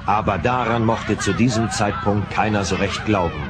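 A man's voice: on the low side, normal speed, over a noisy crowd, lightly acted. A man narrating a science-fiction radio play as its storyteller, in scripted style. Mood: grave narration.

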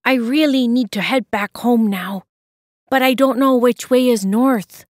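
A woman's voice: a little high, a little slow, no echo, clean studio sound, voice pushed up, natural voice. A woman voicing a brave, polite young boy explorer in a children's story, with an earnest, eager manner. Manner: bright, earnest, courteous.

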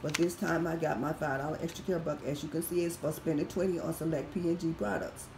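Raspy midwestern woman, fifties, methodical haul vlogger; echoey room, faint noise. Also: deep voice, fairly even intonation, fast talker. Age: 50s. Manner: methodical, reads prices off receipts. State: recovering from surgery. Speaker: couponing vlogger. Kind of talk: casual product haul walkthrough. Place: indoor room at home. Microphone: phone camera held over items.

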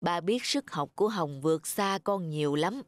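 A woman playing a calm, firm middle-aged father and school principal in a cartoon, measured and admonishing. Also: lowish voice, dry recording, lightly acted. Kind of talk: animated cartoon voice acting. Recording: clear music behind the voice.

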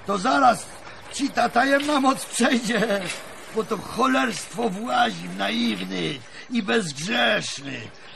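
An actor playing a crusty, bawdy old country grandfather in a radio play, with a creaky voice and a folksy, scolding, cajoling manner. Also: sits high, noisy background, full character voice. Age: older. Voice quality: gravelly, creaky. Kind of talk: radio drama acting. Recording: music playing under the voice.

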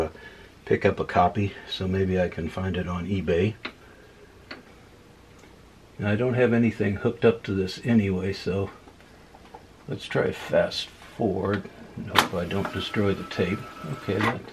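A man casually demonstrating old equipment at a workbench, unhurried and thinking aloud with many fillers. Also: on the low side, darkish tone, a little hiss or hum. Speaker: electronics hobbyist host. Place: workbench room indoors.

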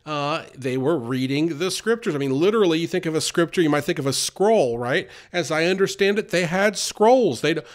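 An earnest man delivers a loose, unscripted sermon. His voice sits a little high for a man, and he often repeats words and restarts his sentences. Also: animated, moderate pace, few pauses. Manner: earnest, repeats himself with many fillers and restarts. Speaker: preacher. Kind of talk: informal sermon.

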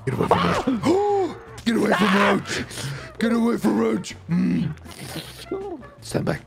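A man improvising a bossy, easily flustered fantasy monster hunter in a game roleplay, growling low and breaking into high yells. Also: very animated, slow pace, loud music behind the voice, heavily acted. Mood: frantic, shouting. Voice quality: gravelly.

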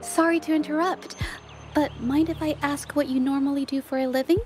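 High-voiced, earnest young woman played by an actress. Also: steady tone, fairly quick, darkish tone, soft background music, lightly acted. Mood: polite. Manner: polite, earnest. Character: gentle, earnest young woman painter, thoughtful sleuth. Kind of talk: anime game voice acting.

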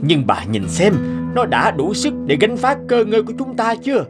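A man's voice: sits high, huge pitch swings, normal speed, loud music behind the voice. An actor voicing a stern, exasperated middle-aged noble father in a cartoon, with an emphatic, commanding manner. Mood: exasperated, scolding. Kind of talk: Vietnamese cartoon dubbing.

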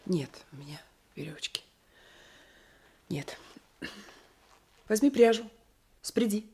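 An actress playing a defiant, lovestruck young peasant wife in a radio play, breathy and fervent, often dropping to a whisper. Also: female voice, mid-range voice, animated, slow pace, warm tone, a little hiss or hum. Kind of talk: 1980s radio play acting.

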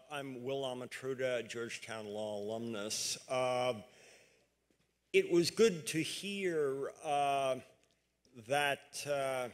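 Male audience questioner, mid-range voice, slow, formal, full of hesitations. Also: mid-range voice. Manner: hesitant, pause-filled, formal. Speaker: audience questioner, law alumnus. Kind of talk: question from the floor.

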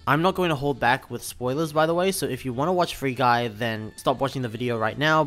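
A young man narrating a video review of a film as a voice-over, talking in an animated, incredulous way with little laughing asides. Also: mid-range voice. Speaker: YouTube commentator. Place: voice-over over gameplay footage.

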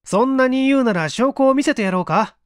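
A man's voice: very high voice, animated, a little fast, clear, resonant tone, no room sound, studio quality, pitched above the performer's own voice. A man voicing a needling, coolly mean male friend who presses and accuses in a dramatic audiobook reading. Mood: challenging.

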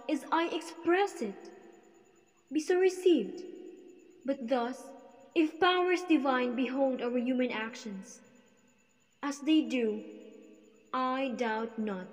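A woman performing a wronged queen's stage monologue in a slow, measured, solemn way. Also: a little high, darkish tone. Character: wronged proud queen defending her honor. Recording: long reverb of a hall-like room.

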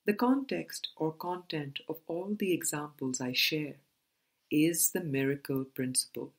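A woman reading a spiritual book aloud as scripted narration, in a measured, deliberate manner with pauses between phrases. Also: sits low. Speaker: audiobook reader.

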